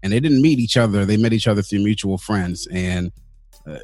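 A man from the Washington DC area, a podcast guest telling stories about growing up in a relaxed, casual way with street slang. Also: lowish voice, fairly quick, dry recording, soft background music. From Washington DC area, United States. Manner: relaxed storytelling, uses slang like "yo" and "big up". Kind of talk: casual conversational interview.

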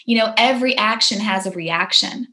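A young adult woman from Poland, a herbalist and green witch, talking reflectively as an interview guest, soft-spoken and often restarting her phrases. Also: medium pitch, normal speed.

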